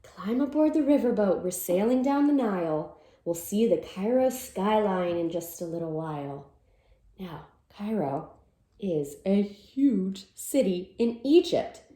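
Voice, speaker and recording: A woman's voice: mid-range voice, animated, measured pace, dark tone, echoey room. A woman teacher reading a picture book aloud to children, with sing-song verses and simple explanations.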